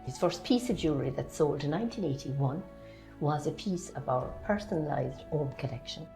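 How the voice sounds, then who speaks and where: Irish woman in her 60s, native Irish speaker, low voice, proud shopkeeper, lapel mic. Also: expressive, brisk, vocal fry, warm tone, faint music behind. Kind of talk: seated interview.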